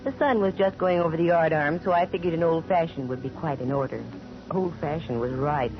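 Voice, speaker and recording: An American actress playing a wry, sharp-tongued female private investigator in 1940s radio style, delivering dry wisecracks and narration. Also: low voice, lightly acted. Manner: dry, sardonic, quick with wisecracks. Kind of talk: 1940s radio acting with first-person narration. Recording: muffled vintage radio broadcast with music.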